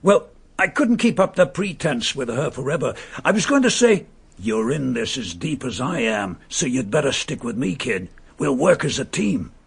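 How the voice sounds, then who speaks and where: A gravelly, mocking killer. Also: male voice, mid-range voice, very animated, normal speed, heavily acted. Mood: sneering. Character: murderous male impostor, mocking and bitter. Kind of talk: vintage radio drama acting.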